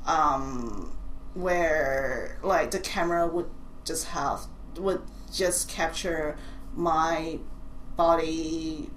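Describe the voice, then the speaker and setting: Soft-pitched woman with a Cantonese-tinged accent. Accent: Cantonese with British and American English traces. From Hong Kong. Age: 40s. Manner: hesitant, many restarts and fillers. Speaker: artist guest. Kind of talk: conversational interview. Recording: echoey room, faint noise.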